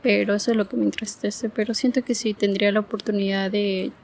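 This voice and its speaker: A young woman from Ecuador talks in a casual interview. She answers simply and with quiet pride, pausing on "este" as she searches for words, in a middle-pitched voice. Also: steady tone, creaky, a little hiss or hum. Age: young adult. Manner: proud, plain-spoken, hesitant fillers like "este".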